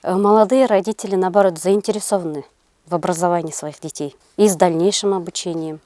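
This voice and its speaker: Middle-aged woman, low voice, halting delivery. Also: fairly even intonation, mellow, dry recording. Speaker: interview guest, senior kindergarten teacher. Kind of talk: interview answers.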